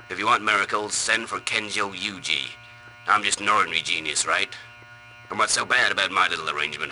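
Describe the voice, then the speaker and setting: A man's voice: sits low, bright, forceful tone, a little hiss or hum, low-quality recording. A man playing a cocky, disgruntled computer operator grumbling sarcastically and boastfully in a video game audio log.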